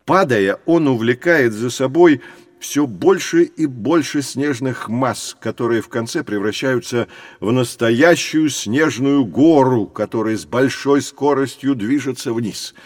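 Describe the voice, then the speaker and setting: An actor playing a patient teacher who explains things expressively to children in a scripted educational audio drama. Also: male voice, mid-range voice, a little slow, no room sound, clean studio sound, close to an everyday voice. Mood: explaining. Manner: didactic, expressive. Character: patient adult male teacher guiding curious children.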